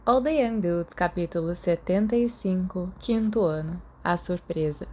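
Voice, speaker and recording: A woman's voice: fairly low pitch, unhurried, warm tone, slight background noise. A woman with a Brazilian accent reading a story as a scripted audiobook narrator. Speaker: podfic narrator.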